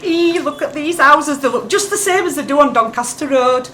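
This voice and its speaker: Actress as chatty Yorkshire mum, high pitch, sharp bright tone, exclaiming, easily amazed, radio drama. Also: female voice, moderate pace, a little hiss or hum. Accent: Yorkshire, Wakefield. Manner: nattering, exclaiming. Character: chatty, wide-eyed provincial working-class mother. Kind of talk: radio play acting.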